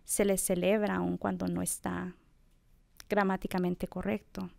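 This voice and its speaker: A woman's voice: lowish voice, flat delivery, unhurried, creaky, a little mellow, no room sound. A middle-aged woman, a professor, speaking thoughtfully in an interview with many fillers. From United States. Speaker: assistant professor of bilingual education. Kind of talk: conversational interview.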